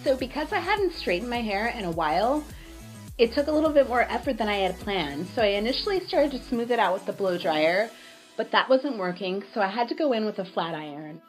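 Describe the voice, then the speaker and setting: A woman talks through a hair tutorial in a relaxed, chatty voice-over, her voice a little raspy and tired from a cold. She sits at an ordinary middle pitch. Music plays clearly beneath her. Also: brisk. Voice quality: slightly raspy. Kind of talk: casual voice-over tutorial narration. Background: background music.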